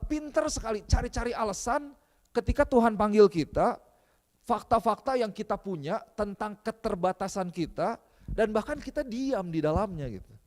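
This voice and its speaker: High-voiced Indonesian pastor in reverberant hall. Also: male voice, darkish tone. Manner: switches between English and Indonesian, many fillers. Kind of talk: bilingual sermon. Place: church hall.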